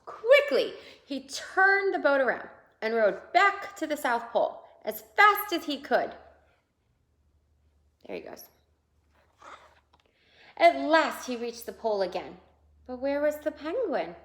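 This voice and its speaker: A woman reading a picture book aloud to young children in an expressive way, pausing to point out the pictures. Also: high-pitched. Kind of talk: children's read-aloud storytelling. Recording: roomy, echoing indoor sound.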